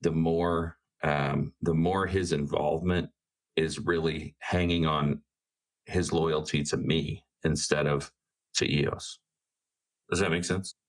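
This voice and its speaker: A man's voice: rumbling low, flat delivery, slow pace. A man playing a tabletop game, casually and tentatively reasoning aloud about the characters. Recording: soft music behind the voice. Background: music.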